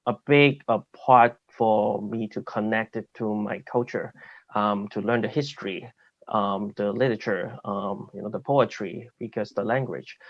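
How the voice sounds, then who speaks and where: Man with a Cantonese accent, panel guest, halting delivery; dry, muffled call audio. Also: fairly low pitch, dry recording. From Hong Kong. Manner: hesitant, many fillers and restarts. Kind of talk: livestream panel discussion.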